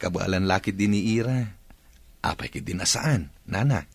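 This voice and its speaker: A man's voice: deep voice, moderate pace, creaky, breathy edge, dry recording, heavily acted. A man with an Ilocano accent playing an earnest, devoted young suitor and dutiful son in a Filipino radio drama.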